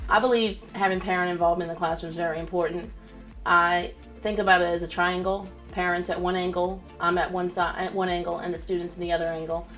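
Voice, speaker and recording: A woman elementary teacher talks sincerely about her classroom in plain words. Her voice sits a little low for a woman, with faint music underneath. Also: flat delivery, fast, a bit bright. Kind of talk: interview answers.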